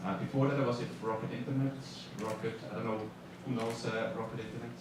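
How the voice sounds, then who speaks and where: A man's voice: mid-range voice, little pitch movement, quick pace. A man from Switzerland, a startup executive, introducing himself on a panel with many fillers and restarts. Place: conference hall. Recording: echoey hall from far off, noisy.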